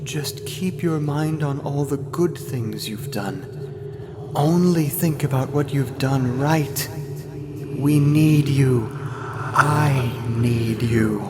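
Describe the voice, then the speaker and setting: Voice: mid-range voice, extremely slow, noisy background. A performer acting a caring, pleading pony friend in a fan radio drama, earnest and insistently coaxing. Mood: insistent urging.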